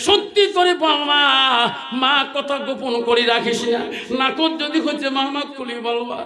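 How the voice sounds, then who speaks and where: A man's voice: very high-pitched, moderate pace, crowd noise. A man voicing an agitated young husband in a sermon story, pleading and threatening in repetitive wails. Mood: desperate, pleading. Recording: echoing amplified voice.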